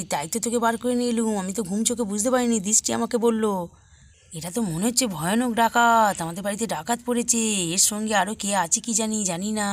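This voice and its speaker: A woman voicing a protective, bossy village mother in a children's cartoon, fussing and hushing in colloquial talk. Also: medium pitch, lightly acted. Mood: urgent, scolding.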